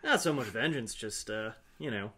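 A man's voice: fairly low pitch, expressive, normal speed, sharp tone, husky. A man player in a tabletop roleplaying game, talking casually and partly in character.

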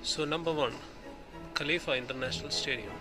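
Guy on a phone cam in an expo hall, reads the signs out slow with little slips, music going loud behind him. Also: fairly high pitch. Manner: reads slowly, pausing between phrases, with slips and restarts. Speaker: video host touring an exhibition. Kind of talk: reading display panels aloud while filming. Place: indoor exhibition hall. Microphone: handheld phone camera. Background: music playing.

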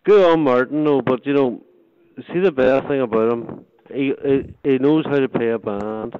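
A man's voice: mid-range voice, normal speed, a little hiss or hum. A man with a Northern Irish accent from Derry, chatting casually on the phone in an easygoing, bantering way while taking a booking query. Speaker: venue staff answering a phone booking. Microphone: telephone. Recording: muffled narrow-band phone line.

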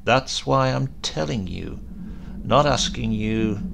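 A man voicing a tall, eerie stranger in a storybook, mild and insistent, giving calm and deliberate warnings. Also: mid-range voice, creaky, exaggerated voice. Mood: quietly insistent.

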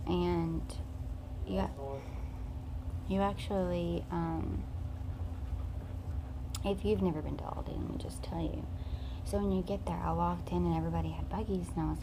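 A woman's voice: on the low side, fairly even intonation, moderate pace, background noise. A young woman, rambling through a casual vlog into her phone camera, full of fillers and restarts. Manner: rambling, filler-heavy storytelling. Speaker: vlogger. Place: parked car, then a home living room at night. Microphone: phone camera close up.

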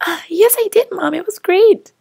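A woman's voice: very high voice, normal speed, vocal fry, dark tone, no echo, studio quality, pitched above the performer's own voice. A woman voicing a stubborn nine-year-old girl who pleads and whines. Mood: pleading. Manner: pleading, whiny.

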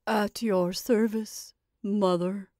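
A timid scarecrow man just brought to life in a storybook tale, acted out by a woman. The voice is a stifled, breathy murmur. It speaks slowly and haltingly, meek toward its maker, in a dry recording. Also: mid-range voice, steady tone, a little mellow, no echo. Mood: obedient. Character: timid, feeble scarecrow come to life, male.

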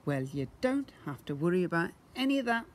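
A man's voice: high voice, big pitch swings, brisk. A man voicing a cheerful, bossy father who barks orders like a sergeant while reading a children's storybook aloud. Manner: hearty, commanding, sergeant-like.

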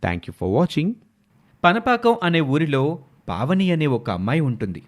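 A man's voice: a little high, big pitch swings, measured pace. A man narrating a scripted moral story as a dramatic storyteller.

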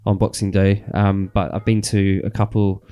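A man in his thirties co-hosting a radio show, chatting in a relaxed way about dance music in a small studio, talking into a handheld mic. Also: sits low, moderate pace, smooth, highs cut off. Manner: relaxed, laughs often, rambling with restarts.

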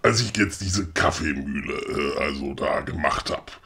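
An actor playing a grumpy, inquisitive old puppet maker in a comic audio play, colloquial and questioning, with a husky voice. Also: rumbling low, normal speed, noisy background. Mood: puzzled. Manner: colloquial, grumbling, questioning with tag "ne". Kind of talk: comedic audio play dialogue.

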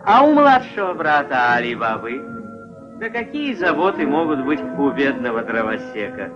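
A man narrating a puppet-film fairy tale as a wry, measured, expressive storyteller. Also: mid-range voice, natural voice. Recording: dull old film soundtrack, music behind.

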